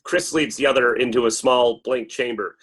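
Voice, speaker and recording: A man's voice: mid-range voice, steady tone, normal speed, a bit bright, breathy edge. A man reading stage directions as dramatic scripted narration at a table read.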